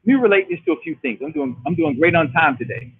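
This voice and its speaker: A man's voice: on the high side, sing-song, fast, gravelly, slightly rough. A man in his late forties hosting a motivational talk show, preaching with emphatic repetition over a phone line. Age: 40s. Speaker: show host. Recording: tinny phone-line sound.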